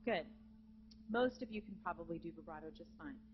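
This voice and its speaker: A woman with a naturally low speaking voice teaching a live vocal jazz masterclass to students, lively and encouraging, in a conference hall. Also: brisk. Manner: lively, encouraging, demonstrates with sung examples. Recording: dull lo-fi live recording with hum.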